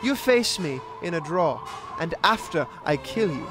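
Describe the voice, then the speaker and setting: A man acts out a menacing, pious gunslinger in a western radio play. His taunting threats come in a high, very lively voice. Loud music plays behind him. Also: unhurried. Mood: cold, taunting. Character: mean, menacing, pious male outlaw gunslinger. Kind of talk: western audio drama acting.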